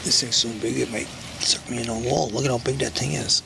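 A man picking nightcrawlers in his backyard at night, talking casually to a handheld camera as he works and getting excited over the big ones. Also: mid-range voice, brisk, vocal fry. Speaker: backyard gardener and angler. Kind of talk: casual narration while working. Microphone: handheld camera mic. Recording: noisy outdoor night recording.

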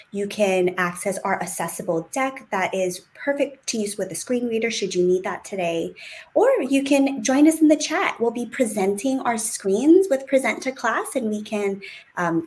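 A woman presenting an online teacher workshop on a video call, upbeat and welcoming, explaining things in clear steps. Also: medium pitch. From United States. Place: home room on a video call.

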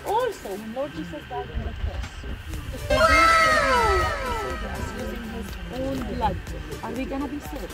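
A woman's voice: sits high, wildly swooping pitch, normal speed, a loud crowd, low-quality recording. A woman, working as a tour guide, explaining a monument and asking the group questions. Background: background music.

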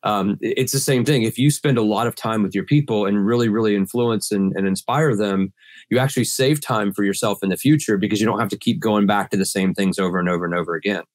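A man's voice: lowish voice, fast talker, no room sound, clean studio sound. A middle-aged man with a slightly scratchy voice, answering earnestly in a video-call podcast interview with many restarts. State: scratchy voice from pollen. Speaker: guest sales trainer.

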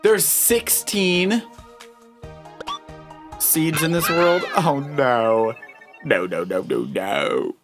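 A child hosting a Let's Play, giving excitable gameplay commentary and often repeating words. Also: mid-range voice, drawn-out words, long pauses. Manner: exclamatory, repeats words and phrases. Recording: loud game music behind the voice. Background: video game music.

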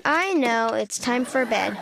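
A high-voiced woman playing a little boy critter tells a children's storybook. The delivery is earnest and childlike, with lively swings in pitch and simple, excuse-making innocence. There is some noise behind the voice. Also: slow, vocal fry. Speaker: storybook narrator. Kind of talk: expressive children's read-aloud in a young child character's voice.

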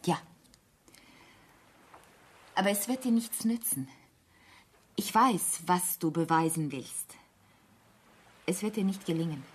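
Radio play role: proud thirties heroine, lowish husky voice, intense and distrustful. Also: female voice, expressive, takes it slowly, long pauses, heavily acted. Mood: bitter, accusing. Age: 30s. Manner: intense, accusing, pleading. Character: proud, distrustful, desperate woman of thirty-one. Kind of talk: radio drama acting.

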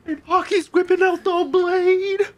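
A young man reacting out loud to an anime episode, exclaiming and swearing casually into a boom mic in a home streaming room. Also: little pitch movement, measured pace. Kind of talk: excited reaction commentary. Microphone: boom mic with headphones. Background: anime soundtrack playing.

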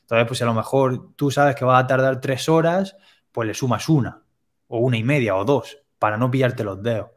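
A young man calmly explaining pricing step by step on a video call, headset mic in a home room. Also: mid-range voice. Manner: plain, step-by-step explanations with quick asides. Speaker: web development teacher, guest expert.

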